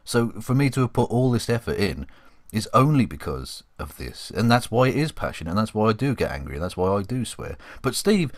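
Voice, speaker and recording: A man co-hosting a casual, opinionated panel chat, speaking passionately and haltingly, repeating words as he builds his point. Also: on the low side, no room sound, clean studio sound. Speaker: occasional co-host and YouTuber.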